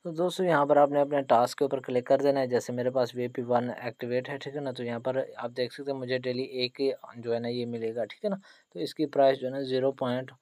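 A man gives a quick, step-by-step screen tutorial as a channel host. His pitch stays fairly flat and his tone is mellow, with frequent short check-in questions as he instructs. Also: dry recording. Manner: repetitive tag questions, instructional. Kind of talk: screen-recorded tutorial narration.

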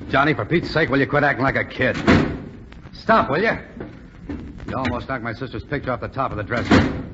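A man's voice: mid-range voice, fairly quick, natural voice. An actor playing a blunt, slangy young cadet who scolds his buddy in a 1940s radio drama. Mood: exasperated. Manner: slangy, exasperated. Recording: dull 1940s radio recording, faint noise.

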